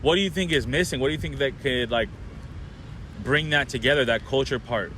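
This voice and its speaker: A man's voice: medium pitch, a little fast, sharp tone, slight background noise. A young man from Orlando hosting a podcast, chatting loosely with lots of fillers and restarts. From Orlando, Florida. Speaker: podcast host. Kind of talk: casual chat.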